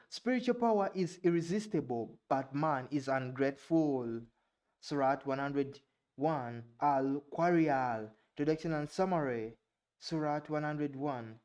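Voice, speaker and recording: Halting Ugandan man reading aloud. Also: fairly high pitch, a little mellow. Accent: Ugandan English. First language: Luganda. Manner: halting, stumbles over unfamiliar words and sounds them out. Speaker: vlogger. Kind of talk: reading aloud with casual asides.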